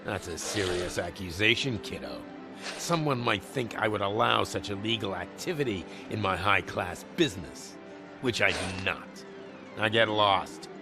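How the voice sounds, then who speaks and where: A man's voice: fairly low pitch, lively intonation, normal speed. A man playing a smug, shady arcade owner in a video game, condescending and mocking. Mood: smug, irritated. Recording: music behind the voice.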